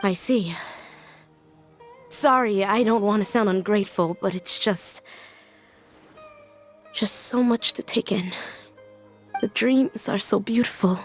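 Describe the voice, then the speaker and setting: A woman's voice: medium pitch, slow pace, pauses often, faint music behind, close to an everyday voice. A woman voicing a sensitive, polite and earnest young woman with a sorrowful temper in a video game. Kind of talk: English video game voice acting.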